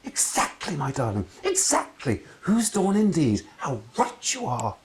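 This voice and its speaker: Gushing eccentric aunt, high-pitched, melodramatic, overbearing, storybook character. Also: male voice, wildly swooping pitch, a little slow, background noise. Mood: dramatic.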